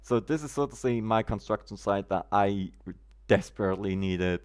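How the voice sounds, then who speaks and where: A man's voice: fairly low pitch, normal speed, darkish tone, clear, resonant tone. A man from Germany, chatting casually on a gameplay live stream, greeting viewers by name in quirky, roundabout phrasing.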